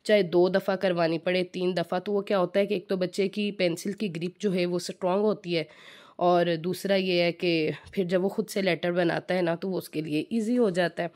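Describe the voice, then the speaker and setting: Slightly hoarse woman teaching kids at home. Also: fairly low pitch, fairly even intonation, normal speed, a little mellow. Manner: chatty, mixes English words, frequent fillers. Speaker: home teaching channel host. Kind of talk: casual home tutorial talk.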